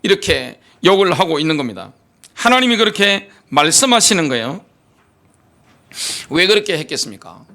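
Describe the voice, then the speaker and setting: A man's voice: high voice, big pitch swings, moderate pace, vocal fry, pauses often, pushed and bright. A male pastor preaching a sermon in a church, asking rhetorical questions and stressing his points emphatically. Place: church sanctuary.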